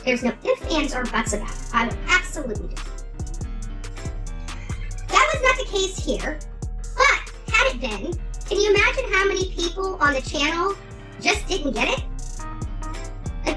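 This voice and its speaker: A woman's voice: very high voice, big pitch swings, moderate pace, long pauses, music in the background. A woman hosting an online true-crime channel, delivering an impassioned, combative rant with emphatic repetition.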